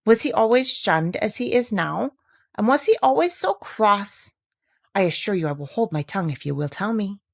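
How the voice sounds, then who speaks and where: A woman's voice: mid-range voice, animated, normal speed, gravelly, no room sound, studio quality. A woman voicing a talkative, inquisitive village woman from a storybook, speaking in an emphatic, gossipy way. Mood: coaxing. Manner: exclamatory, emphatic, gossipy questioning. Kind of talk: storybook character reading.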